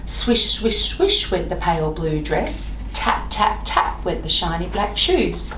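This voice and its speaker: A woman reading a children's storybook aloud in an expressive, deliberate way, with sing-song repeated phrases. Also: lowish voice, far from the mic. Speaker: library staff member reading stories. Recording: roomy echo, off-mic, faint noise.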